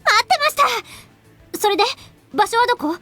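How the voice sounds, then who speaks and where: A brave, feisty schoolgirl from an anime-style game. Her voice is extremely high and swings around with spirited energy, set over faint music. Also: big pitch swings, quick pace, bright, forceful tone, full character voice. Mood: excited. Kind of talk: Japanese anime game voice acting.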